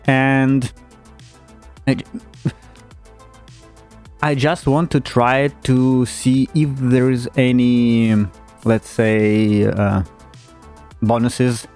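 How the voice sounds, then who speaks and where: A man's voice: mid-range voice, a little mellow. A man giving casual, hesitant commentary as he plays a racing game, with many fillers and restarts. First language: not German. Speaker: gaming YouTuber. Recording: game music playing underneath.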